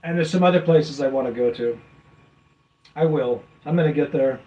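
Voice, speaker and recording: A man's voice: medium pitch, normal speed, far from the mic, muffled recording. Rambling man on a casual livestream. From USA. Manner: rambling, filler-heavy, reads messages aloud. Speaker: livestream host reading chat. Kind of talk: casual live chat.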